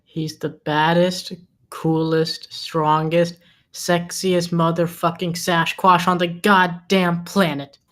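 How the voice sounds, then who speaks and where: A woman's voice: on the low side, level tone, slow pace. A woman playing a loyal, earnest best friend on the witness stand, speaking emphatically at a screenplay table read.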